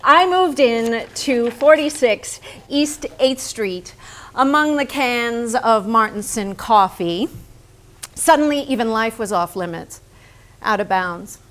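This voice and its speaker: An actress playing a frank, smitten woman painter in her thirties, in a theatrical staged reading with deliberate, intimate dramatic delivery. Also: on the high side, lively intonation. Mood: intimate, intense.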